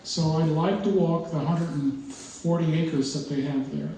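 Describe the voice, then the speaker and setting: An older man, the exhibiting artist, giving an informal, halting talk about his work with many pauses and restarts. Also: on the high side, dark tone. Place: art gallery, indoors. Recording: very echoey room, voice far from mic, faint noise.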